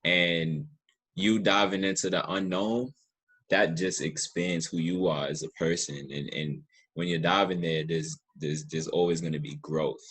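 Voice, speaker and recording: A man's voice: low-pitched, steady tone, a little slow, a bit bright, husky. A man hosting a casual interview in an earnest, appreciative and affirming way. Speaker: interview podcast host.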